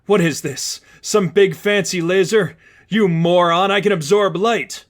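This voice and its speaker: An angry supervillainess from a superhero story, acted out by a male reader, speaks high and loud. She is arrogant and menacing, barking demands and threats. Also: measured pace. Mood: scornful. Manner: shouting, demanding.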